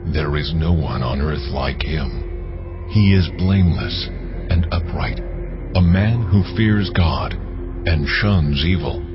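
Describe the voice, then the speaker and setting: A man voicing the Lord, deep and solemn. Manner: slow, solemn, authoritative. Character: the Lord, commanding divine male voice. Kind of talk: dramatized scripture reading. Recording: dull lo-fi recording. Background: cinematic music.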